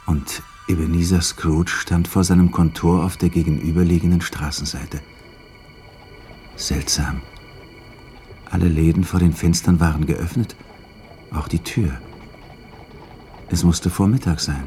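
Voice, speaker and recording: A man narrating a 1960s German radio drama as a grave storyteller, measured and dramatic. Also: low-pitched, darkish tone. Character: grave storyteller of a Christmas ghost tale. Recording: 1960s radio production with music.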